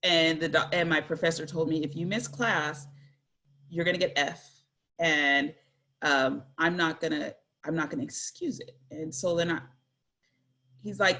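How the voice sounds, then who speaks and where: A woman's voice: on the low side, a bit bright. A woman in her forties telling personal stories in a candid, earnest way, with frequent fillers and self-corrections. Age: 40s. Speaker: guest speaker.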